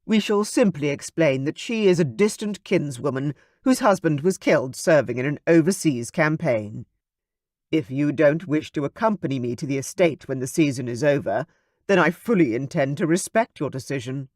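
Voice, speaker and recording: A cold, dismissive nobleman, as an audiobook narrator voices him, speaking firmly and a little louder than the narration, with a varied lilt. The sound is close and dry. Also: mid-range voice, animated, normal speed, no room sound, close to an everyday voice. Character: cold, dismissive aristocratic man, a duke. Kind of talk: audiobook character voice.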